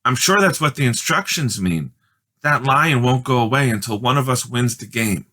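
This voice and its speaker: A man voicing a bossy, determined young girl, an older sister in a storybook, with emphatic, deliberate delivery. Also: medium pitch, animated, sharp tone, pitched above the performer's own voice. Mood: insistent.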